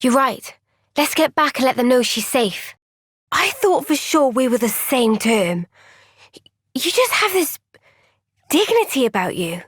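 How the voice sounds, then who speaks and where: A woman playing an earnest, gentle young female soldier in a video game cutscene, speaking with measured, sincere resolve. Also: sits high, big pitch swings, slightly rough, dry recording, studio quality, exaggerated voice. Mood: gentle, reassuring.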